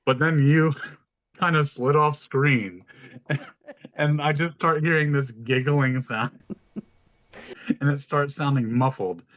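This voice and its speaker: A man with a deep voice co-hosting a podcast, telling stories in an informal, casual way and swearing freely. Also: fairly even intonation, slow pace, pauses often, a bit bright, no room sound, highs cut off. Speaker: podcast co-host. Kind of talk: casual chat, storytelling.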